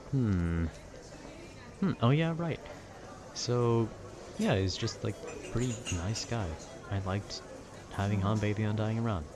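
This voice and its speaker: A young man rambling casually in a nerdy, slangy, meandering way, often trailing off and losing his thread. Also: deep voice. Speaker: audio content creator rambling to listeners. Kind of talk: casual rambling talk about video games. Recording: clear background noise, urban ambience.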